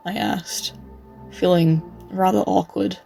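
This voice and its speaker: Over background music comes a woman acting a weary, reluctant traveller narrating a bleak comic-dub journey. The voice is on the low side and noticeably creaky, with a tired, awkward understatement. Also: steady tone, slow pace, warm tone, full character voice. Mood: weary, uneasy. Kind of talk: first-person scripted narration, comic dub.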